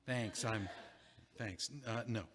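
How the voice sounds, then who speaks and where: A man's voice: fairly low pitch, steady tone, slow, long reverb tail, a crowd in the background. A man playing a rueful, dumped guy. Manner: dry, wry, hesitant delivery. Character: recently dumped man, rueful and self-mocking. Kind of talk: audio play acting.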